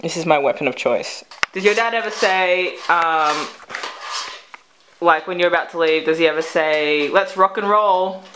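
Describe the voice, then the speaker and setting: A woman, bantering casually from behind the camera in a teasing, deadpan way. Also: fairly low pitch, moderate pace. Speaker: camera operator and interviewer. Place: home kitchen, indoors. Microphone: behind a handheld camera. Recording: noisy kitchen with clattering.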